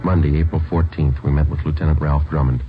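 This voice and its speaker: Deep-voiced deadpan police detective. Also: male voice, fast talker. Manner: clipped, deadpan, matter-of-fact. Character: stoic male police sergeant narrating his case. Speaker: narrator and lead police detective. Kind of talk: 1950s radio drama acting. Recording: dull old radio recording, music behind.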